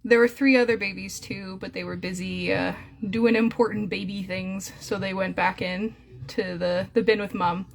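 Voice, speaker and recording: A woman's voice: medium pitch, fairly even intonation, moderate pace, vocal fry. A young woman with a Canadian accent chatting in a casual live stream, full of fillers and coos. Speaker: hamster breeder streaming live.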